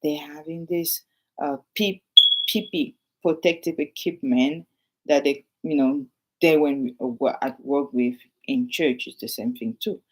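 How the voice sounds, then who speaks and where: A woman's voice: low voice, measured pace, a little mellow, low-quality recording. A woman with a French accent, a realtor chatting casually to her viewers while reading from her notes and adding asides.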